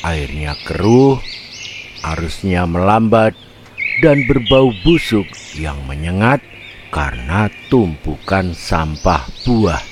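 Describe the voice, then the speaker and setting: A man's voice: low voice, noisy background. A man narrating a scripted animal fable for children. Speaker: children's storyteller.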